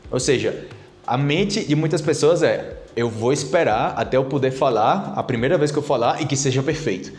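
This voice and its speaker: A Brazilian man in his thirties teaching a casual video lesson to camera on a lapel mic, animated and chatty, tagging his sentences with little questions. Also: medium pitch, normal speed, echoey room. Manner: animated, gesturing a lot with his hands; chatty, with many tag questions. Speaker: Portuguese teacher. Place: plain-walled room indoors.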